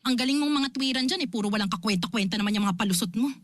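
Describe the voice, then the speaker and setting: A woman's voice: a little high, expressive, rapid-fire, no room sound, studio quality, natural voice. A woman acting an exasperated, responsible older sister and aunt in a Filipino radio drama, with an emphatic way of speaking. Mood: serious, frustrated. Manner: scolding, emphatic, nagging.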